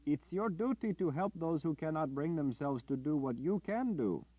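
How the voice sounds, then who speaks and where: A man's voice: on the high side, animated, normal speed, no echo, pitched above the performer's own voice. A man voicing a male villager who urges in an earnest way. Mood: urging. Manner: earnest, urging. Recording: dull dry lo-fi audio.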